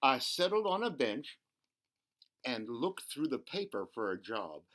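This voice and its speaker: A man's voice: mid-range voice, sing-song, measured pace, a bit bright. A man voicing a downhearted, jobless father from a picture book, weary, wistful and plain-spoken. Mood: weary, hopeful.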